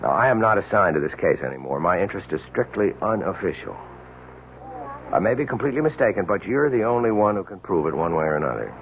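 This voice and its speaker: An American actor playing a dogged, world-weary police detective in a radio drama, speaking earnestly and insistently with deliberate dramatic pauses. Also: male voice, low-pitched, sing-song, slight background noise. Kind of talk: 1970s radio drama acting. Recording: dull, lo-fi old radio drama recording.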